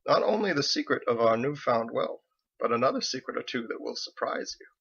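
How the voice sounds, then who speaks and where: Guy doing an old husband in a story, coaxing and playful, secretive, bright voice, slightly muffled. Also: mid-range voice, expressive, a little fast, vocal fry, slightly rough, full character voice. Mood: tender, coaxing. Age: older. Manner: teasing, coaxing. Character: secretive old husband, teasing, outwardly fond. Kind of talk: audiobook character voice.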